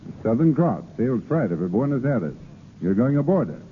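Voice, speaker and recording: Male actor as ardent executive in his forties, vintage radio drama, animated pitch, narrow noisy sound. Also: normal speed, natural voice. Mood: urgent, persuasive. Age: 40s. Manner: earnest, ardent. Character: lovestruck, commanding 46-year-old male shipping boss. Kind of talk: 1930s radio drama acting. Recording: tinny, noisy old radio broadcast.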